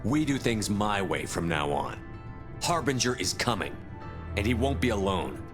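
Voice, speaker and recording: A man's voice: fairly low pitch, big pitch swings, normal speed, music in the background. A man playing a resolute soldier commander who talks in a firm, blunt and defiant way.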